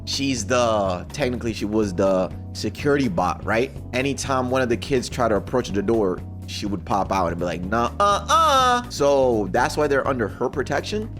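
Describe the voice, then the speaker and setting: Male reaction streamer: conversational, slangy, exclaiming, middling pitch, light music underneath. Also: expressive, moderate pace, hardly any pauses, fairly bright tone. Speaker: streamer reacting to game voice lines. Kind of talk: casual reaction commentary.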